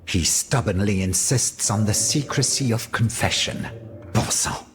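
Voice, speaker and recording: A man's voice: fairly low pitch, measured pace, natural voice. An actor playing a flamboyant, indignant French nobleman in a video game, with a florid, declamatory manner. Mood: bitter indignation. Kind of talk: video game cutscene dramatic acting.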